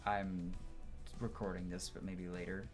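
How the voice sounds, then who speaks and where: A man's voice: low-pitched, little pitch movement, a little slow, music in the background. A man hosting a gaming playthrough, chatting casually in slang and thinking aloud as he plays. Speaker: gaming video host.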